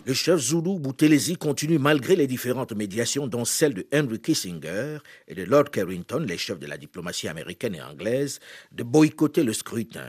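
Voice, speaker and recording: A man hosting a history radio program, reading scripted documentary narration in a measured, solemn way. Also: mid-range voice, big pitch swings, slightly rough, no room sound, studio quality.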